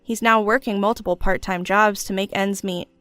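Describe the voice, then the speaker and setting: A woman's voice: medium pitch, fairly even intonation, moderate pace, a bit bright, clean tone, no room sound. Woman narrating a story, dry close sound. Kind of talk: scripted first-person story narration. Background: background music.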